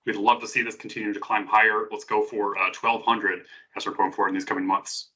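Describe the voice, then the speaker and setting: A man speaks quickly with a bright, forceful tone, rattling off community resources in an upbeat promotional manner. His voice comes through a slightly muffled, lo-fi line. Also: on the low side, flat delivery. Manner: brisk, upbeat promotional rundown. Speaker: community call co-host. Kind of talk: online community call announcements.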